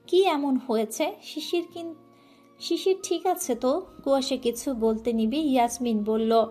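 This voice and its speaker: A woman reads a romantic story aloud with emotive, dramatic delivery. Her voice is mellow and soft yet pitched somewhat high, and faint music plays under her reading. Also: a little slow. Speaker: story narrator. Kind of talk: scripted storytelling narration.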